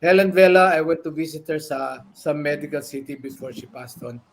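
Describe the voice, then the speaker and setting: An older man with a Filipino accent reminiscing casually and sentimentally in halting phrases on a video call. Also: fairly high pitch, creaky. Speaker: guest, former radio personality. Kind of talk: casual reminiscing in a group chat. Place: indoors at home, on a video call. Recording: noisy video call with clicks.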